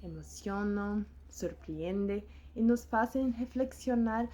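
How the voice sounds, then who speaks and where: A woman hosting a story channel, speaking Spanish as a second language in a careful, slightly halting way. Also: mid-range voice, dark tone. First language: not Spanish. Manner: careful, slightly halting non-native delivery. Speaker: channel host. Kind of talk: scripted channel intro. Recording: dull lo-fi recording.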